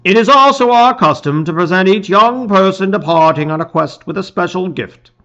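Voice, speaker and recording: A man's voice: high voice, pushed and bright, dull audio, pitched above the performer's own voice. A man voicing a solemn, declaiming village chief. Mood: solemn, proud. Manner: ceremonial, slow, declaiming. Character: father, solemn village chief addressing young graduates. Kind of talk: storybook read-aloud.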